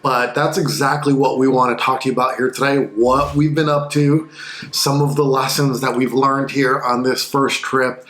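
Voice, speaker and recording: A man's voice: mid-range voice, level tone, moderate pace, a bit bright, roomy sound. A middle-aged man co-hosting a travel vlog, talking in an earnest, reflective way in casual chat. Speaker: vlog co-host.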